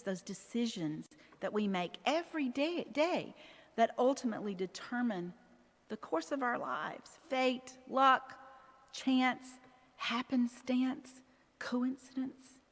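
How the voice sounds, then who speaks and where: A middle-aged woman gives a prepared motivational talk to a church audience, emphatic and animated, her voice jumping up sharply on key words. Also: mid-range voice. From Southern United States. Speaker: author speaking to a church audience. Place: church hall. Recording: long reverb of a large hall.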